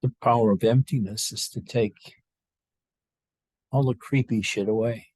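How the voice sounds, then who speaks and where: A man leading a guided meditation as a spiritual teacher, speaking to a group in a slow, halting, unscripted way with long pauses. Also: mid-range voice, steady tone, a little mellow, husky, no room sound.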